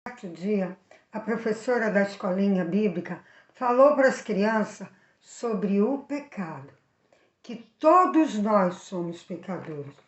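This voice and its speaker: A woman with a Brazilian accent telling a Bible story for children, speaking directly to the young viewers in an animated way. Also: medium pitch, measured pace, roomy sound. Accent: Brazilian Portuguese.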